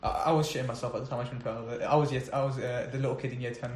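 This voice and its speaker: Fast-talking man in an echoey room. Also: medium pitch, steady tone, a little mellow, a little hiss or hum. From Sweden. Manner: fast storytelling with laughs. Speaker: podcast co-host. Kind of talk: casual chat.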